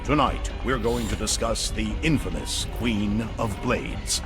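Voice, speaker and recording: An actor playing a pompous, sensationalist TV news anchor in a video game newscast, theatrical in delivery. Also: male voice, lowish voice, unhurried, music in the background, natural voice. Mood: pompous, dramatic.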